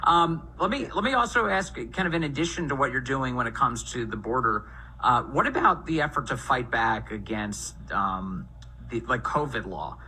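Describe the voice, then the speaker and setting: A man hosting a talk radio show, interviewing a guest in an indignant, rapid-fire manner. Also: mid-range voice, animated, sharp tone, slight background noise, rough recording.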